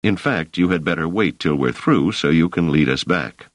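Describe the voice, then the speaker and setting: A man voicing a calm, persuasive scholarly man in a storybook reading. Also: low-pitched, moderate pace, no echo.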